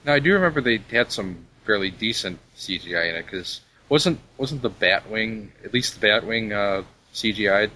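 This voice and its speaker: A man's voice: fairly low pitch, animated, normal speed, few pauses, bright, forceful tone, a little hiss or hum, low-quality recording. A man in a casual podcast chat, giving emphatic, opinionated takes. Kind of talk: casual chat about animated films.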